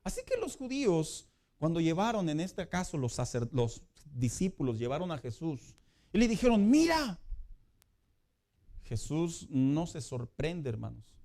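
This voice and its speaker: A man preaching a sermon as pastor in a conversational way, building to loud emphatic peaks. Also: on the high side, very animated, measured pace.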